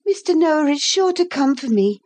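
Little girl, storybook, earnest, anxious, breathless, read by a woman, high voice. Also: lively intonation, darkish tone. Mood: eager. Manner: breathless, earnest, quick to apologize. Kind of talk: audiobook character voice.

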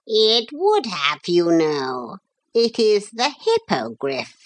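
A woman's voice: medium pitch, huge pitch swings, slow pace, pitched above the performer's own voice. A woman voicing a knowing, impatient, proud talking parrot in a storybook, with prim, emphatic delivery. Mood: matter-of-fact. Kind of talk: storybook character voice.

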